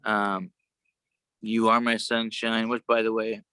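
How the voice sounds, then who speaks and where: A man chatting casually on a video call, with a worn, sometimes gravelly voice and a halting, rambling way of talking. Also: lowish voice, level tone, pushed and bright, no echo. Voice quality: gravelly at times. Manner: rambling, halting, many restarts. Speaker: show co-host.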